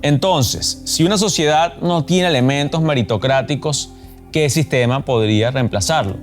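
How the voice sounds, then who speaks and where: A man's voice: mid-range voice, normal speed, soft background music. A man presenting a scripted explainer, narrating arguments and facts as the show's host.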